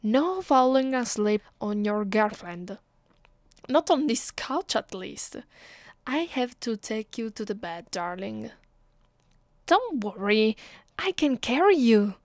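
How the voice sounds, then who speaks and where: A woman with an Italian accent playing an affectionate, playful vampire girlfriend in an ASMR roleplay, speaking softly, intimately and teasingly. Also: fairly high pitch, lively intonation, takes it slowly, no echo. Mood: playful, caring. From Italy. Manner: soft, tender, teasing, intimate. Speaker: voice actress.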